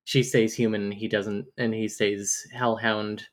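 Sex: woman. Role: podcast co-host. Style: casual book-club recap. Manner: animated and quick to laugh. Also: extremely low pitch.

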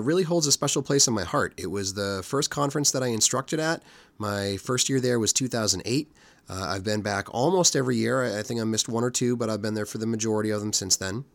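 A hoarse man in his forties hosts a casual podcast, his voice a bit low from a cold. He rambles in a relaxed way, full of fillers, and the recording is dry and close. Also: no room sound. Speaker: podcast host.

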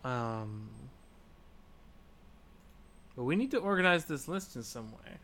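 A man's voice: medium pitch, big pitch swings, takes it slowly, a bit bright. A man co-hosting a podcast, chatting casually about a video game. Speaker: podcast co-host.

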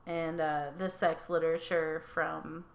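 A young woman co-hosting a casual true-crime podcast, reading out facts haltingly with many ums. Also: low voice, flat delivery, creaky, reverberant. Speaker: podcast co-host.

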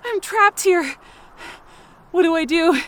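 A woman acting an anxious, self-blaming, injured character who narrates her ordeal in short, halting phrases for an audio drama. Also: very high-pitched, lively intonation, heavily acted. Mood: panicked, tearful. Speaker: fiction podcast performer.

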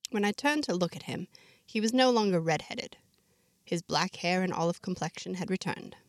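A woman narrating a first-person story in a measured, reflective, diary-like way, ending with a scripted sign-off. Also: on the low side, expressive, no echo, studio quality.

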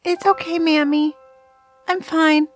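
A woman voicing a frail, gentle, sad little girl with a meek, wistful manner in a fantasy audio drama. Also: very high-pitched, fairly even intonation, slow. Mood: brave, sad. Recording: prominent music under the voice.

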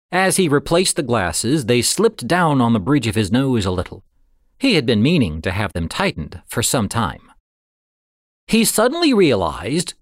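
Male audiobook reader, dramatic short story narration, very animated intonation, suspenseful delivery, dry recording. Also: mid-range voice, no echo.

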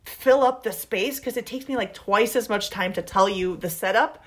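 A woman's voice: medium pitch, moderate pace. Venezuelan-accented woman comedian. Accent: Venezuelan Spanish. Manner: animated, restarts sentences, laughs often. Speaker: comedian guest. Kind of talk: casual podcast chat.

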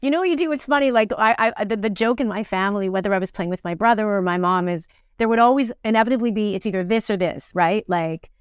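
Clear-voiced woman chatting in an interview. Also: mid-range voice, quick pace, hardly any pauses, no echo, studio quality. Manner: chatty, thinking aloud with frequent "like". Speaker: interview guest, actor. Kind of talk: casual conversational interview.

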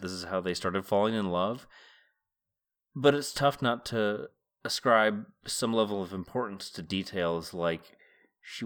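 A man's voice: on the low side, a bit bright. A middle-aged man hosting a podcast, reading a book aloud and commenting on it in an informal, musing way. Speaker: podcast host. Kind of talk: book read-aloud and casual solo commentary.

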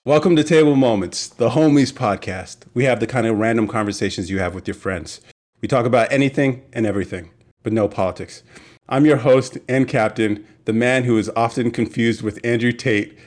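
A man's voice: medium pitch, moderate pace, darkish tone. A young man hosting a casual podcast, joking and laughing often. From United States. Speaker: podcast host.

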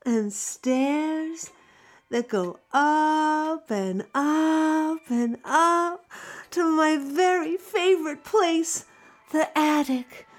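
A woman playing a grieving grown daughter, narrating an intimate audio drama about memories of home with tender, dramatic pauses. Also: fairly high pitch. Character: grieving grown daughter recalling her childhood home with wonder. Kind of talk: intimate first-person audio drama monologue.

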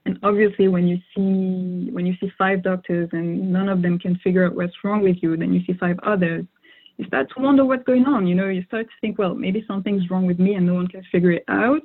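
A woman's voice: lowish voice, steady tone, fairly quick, slightly rough. A young woman whose first language is French, answering interview questions thoughtfully with frequent fillers and restarts. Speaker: interview guest. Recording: phone-like narrow sound.

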